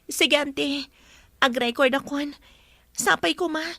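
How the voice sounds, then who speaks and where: Scared pleading captive niece, super high bright girly voice, radio drama. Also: a little slow, no room sound, heavily acted. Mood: anxious, obedient. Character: pleading young captive niece, frightened but obedient. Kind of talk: Ilocano radio drama acting.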